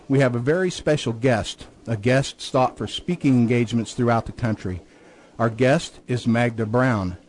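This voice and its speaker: A man's voice: medium pitch, a little mellow, rough recording. A man hosting a radio talk show, who introduces his guest earnestly and stumbles and repeats himself when he speaks freely. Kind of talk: radio host introduction and chat.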